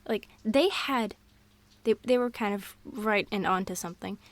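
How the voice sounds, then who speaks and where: A woman in an intimate girlfriend roleplay, rambling through fun facts in a scatterbrained, excitable way. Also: fairly high pitch, dry recording. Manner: scatterbrained, excitable, jumps between topics. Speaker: audio roleplay performer.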